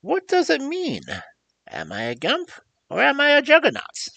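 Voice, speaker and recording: Squeaky high gloomy creature, big wings, loud and mournful. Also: male voice, huge pitch swings, moderate pace, gravelly, no echo, heavily acted. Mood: bewildered. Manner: plaintive, wondering. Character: squeaky-voiced huge winged patchwork creature, gloomy.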